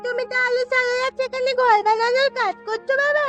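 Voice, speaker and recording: A woman's voice: extremely high pitch, steady tone, brisk, fairly bright tone, faint music behind. A woman voicing an anxious, pleading little village girl in a fairy tale cartoon. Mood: anxious, questioning.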